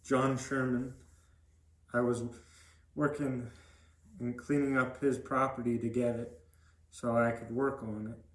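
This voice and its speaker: A young man gives plain, halting answers as a podcast guest. His pitch is mid-range and stays quite flat, and the room sounds echoey. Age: young adult. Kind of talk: casual interview answers.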